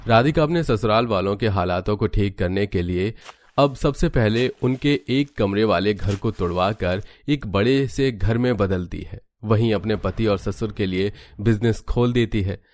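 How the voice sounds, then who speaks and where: A man's voice: lowish voice, unhurried, darkish tone. A man reading a scripted story as its narrator.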